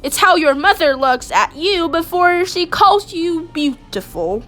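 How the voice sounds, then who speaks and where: A woman with a high-pitched voice reads a children's story aloud. Her delivery is expressive and animated, with dramatic emphasis on key phrases. Faint music plays behind her. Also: a little slow. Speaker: storybook reader. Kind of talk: expressive read-aloud of a children's picture book.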